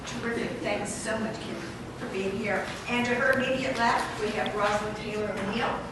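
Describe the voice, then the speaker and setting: A woman's voice: mid-range voice, fairly even intonation, normal speed. A woman moderating an evening panel in a hotel event room, joking and laughing often. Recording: echoey, noisy room from far off.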